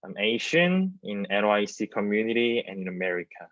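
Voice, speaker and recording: A man in his twenties with a Korean accent, a native Korean speaker, reading his own dramatic monologue in halting English. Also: lowish voice, very animated, fairly bright tone, dry recording. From South Korea. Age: 20s. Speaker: student actor. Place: small plain indoor room. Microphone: wireless earbuds on a video call. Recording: dull, lo-fi video-call audio.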